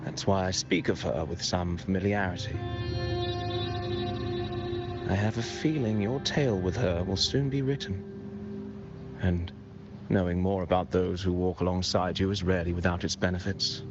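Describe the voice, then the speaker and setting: A man playing a courtly, cryptic traveling poet in a video game, speaking formally and eloquently in measured phrases. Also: sits low. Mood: reflective. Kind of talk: theatrical game dialogue, poetic storytelling. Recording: muffled game audio under music.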